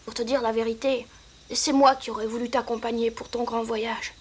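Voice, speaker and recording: A woman's voice: fairly high pitch, flat delivery, normal speed, slight background noise, natural voice. A woman voicing a young boy who speaks affectionately and earnestly to his father in a cartoon. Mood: tender. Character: young boy Diego, loving son. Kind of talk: French cartoon voice acting.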